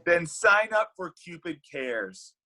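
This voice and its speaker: A man playing an indignant, needy underdog matchmaker, emphatic and plaintive, with a husky voice, in a comedy read. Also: high voice, very animated, slow, sharp tone, heavily acted. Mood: indignant, rallying. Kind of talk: comedy script table read.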